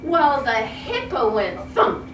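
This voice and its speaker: A woman's voice: sits high, very animated, unhurried. An older woman, a reading teacher, reading a children's story aloud in a theatrical, emphatic way. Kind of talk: expressive children's read-aloud. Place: school classroom. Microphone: distant camera. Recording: roomy, noisy classroom, distant mic.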